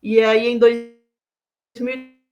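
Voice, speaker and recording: Artista mulher brasileira, fala pausada. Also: female voice, on the high side, little pitch movement, a little mellow, lo-fi sound. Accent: Brazilian Portuguese. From Bahia, Brazil. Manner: reflective, halting, with many fillers and restarts. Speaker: visual artist. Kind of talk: artist talk presenting her work.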